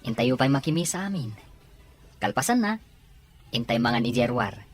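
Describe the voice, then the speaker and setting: A woman acts out a kindly, devout older brother in an audio drama. The voice is low and husky, grateful and encouraging in manner. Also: expressive, moderate pace, soft background music, full character voice. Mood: warm, grateful. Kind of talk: Ilocano audio drama acting.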